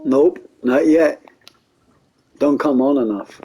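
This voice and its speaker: A man's voice: medium pitch, slow pace, dark tone, husky, faint music behind, rough recording. A man giving casual commentary as he streams a game. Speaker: gaming streamer.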